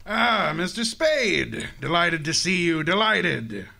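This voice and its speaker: An actor playing a jovial, wordy, wealthy fat crime boss in a radio drama, speaking with effusive, genteel relish. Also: male voice, high-pitched, big pitch swings, slow, gravelly, heavy background noise, exaggerated voice. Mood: effusive, genial. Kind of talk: old-time radio drama acting.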